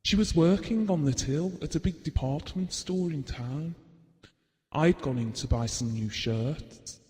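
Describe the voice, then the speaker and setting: An actor playing a shy, devoted husband in an audio drama, speaking earnestly and nicely. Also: male voice, a little high, unhurried, mellow, big-room sound, close to an everyday voice. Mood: fond, wistful. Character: shy, devoted, well-spoken husband reminiscing about his marriage.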